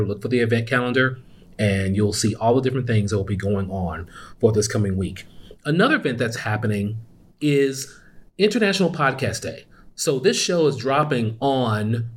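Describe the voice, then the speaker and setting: A male podcast host with a mid-pitched voice talks through announcements on his own. His delivery is casual and conversational, with an upbeat, promotional manner.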